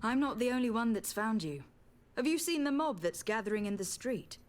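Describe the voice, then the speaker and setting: A woman's voice: fairly high pitch, lively intonation, a little fast, close to an everyday voice. An actress playing a principled noblewoman envoy in a fantasy video game, questioning and reproaching with formal composure. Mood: composed, urgent.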